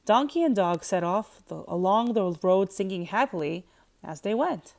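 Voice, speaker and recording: A woman's voice: mid-range voice, expressive, moderate pace, creaky, darkish tone. A woman teacher reading a children's storybook aloud as narrator.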